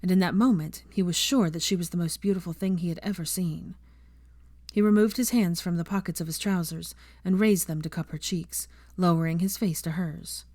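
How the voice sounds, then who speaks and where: A woman reading fiction aloud as an audiobook narrator, in an expressive scripted style. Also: fairly low pitch, a little fast, no echo, clean studio sound.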